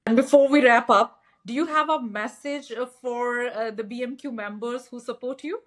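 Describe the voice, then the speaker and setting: High-voiced woman podcast host. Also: moderate pace, a bit bright. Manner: hesitant, many fillers. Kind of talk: podcast interview.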